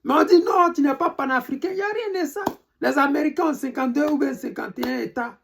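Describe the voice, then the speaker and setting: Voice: high-pitched, quick pace, gravelly, noisy background. An Ivorian speaker with an Ivorian French accent, delivering an indignant, emphatic political monologue to followers and hammering questions again and again. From Côte d'Ivoire. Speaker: political commentator. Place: living in Europe.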